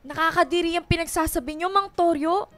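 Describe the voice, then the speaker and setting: A woman's voice: extremely high pitch, a little fast, a bit bright. A Filipino woman playing a dutiful young student and devoted daughter, earnest and polite but firm in a radio drama. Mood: indignant.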